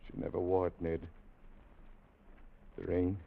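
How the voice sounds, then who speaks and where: An actor playing a grieving young sailor, speaking in halting, broken lines in 1940s radio drama style. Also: male voice, deep voice, completely flat delivery, gravelly. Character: grieving young male sailor Charlie, heartbroken. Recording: dull old radio recording.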